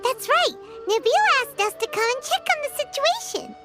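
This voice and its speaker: A woman voicing a tiny childlike fairy companion in an anime-style game, with a squeaky voice and a perky, eager, exclamatory delivery. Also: big pitch swings, moderate pace, full character voice. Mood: excited. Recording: loud game music behind voice.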